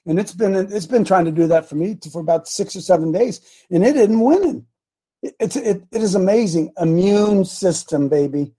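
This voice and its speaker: An American man with a raspy voice hosting a religious talk show, chatting casually in a folksy, animated way and telling rambling personal stories. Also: sits high, moderate pace. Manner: folksy, animated, repeats phrases for emphasis.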